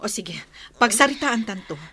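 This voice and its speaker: An actress playing a caring nurse who takes charge in a radio drama, commanding yet reassuring. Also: female voice, on the high side, lively intonation, moderate pace, bright, forceful tone. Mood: kind, firm. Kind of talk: Ilocano radio drama acting.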